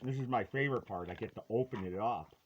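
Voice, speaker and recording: A man presenting a retro collectible in casual, rambling, halting chat, with a playful, hammy, folksy delivery and drawn-out words. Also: fairly low pitch, highs cut off, a little hiss or hum.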